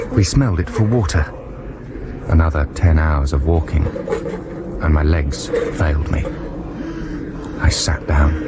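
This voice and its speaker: An actor playing an earnest man recalling a perilous expedition, in solemn, reflective radio drama narration. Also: low voice. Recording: very noisy 1990s radio broadcast.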